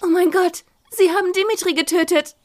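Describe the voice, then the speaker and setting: A woman's voice: very high voice, steady tone, fairly quick, a little mellow, a little hiss or hum. A woman voicing a vain female healer who is obsessed with bags, in a parody audio drama, talking in a chirpy, self-satisfied way.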